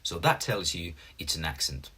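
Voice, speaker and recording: Deep-voiced Finnish man explaining drum notation. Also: lively intonation, normal speed, bright, forceful tone, slightly rough. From Finland. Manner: plain, patient step-by-step explaining with small grammar slips. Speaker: drum teacher. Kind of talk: instructional explanation.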